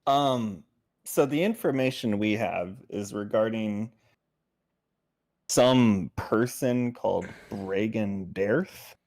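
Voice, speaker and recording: Slow-talking man at a tabletop game. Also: on the low side, vocal fry, a little mellow. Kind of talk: casual, deliberate game chat.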